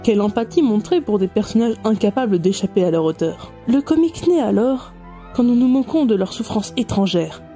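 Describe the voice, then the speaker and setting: An actress playing a sly office colleague who tells stories with mock solemnity in an audio comedy. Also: female voice, fairly high pitch, animated, a little fast, dark tone, soft background music. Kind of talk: amateur audio comedy drama.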